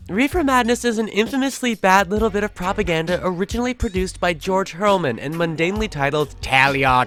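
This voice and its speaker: A woman's voice: lowish voice, fairly bright tone. A woman with an American accent hosting a film channel, reading a scripted video essay in a wry, irreverent manner.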